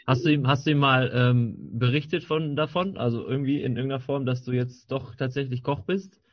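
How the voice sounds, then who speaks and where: A man's voice: medium pitch, steady tone, fairly quick, no echo. A man interviewing a guest, asking long rambling questions with many restarts and fillers. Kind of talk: casual interview. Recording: dry, dull lo-fi sound.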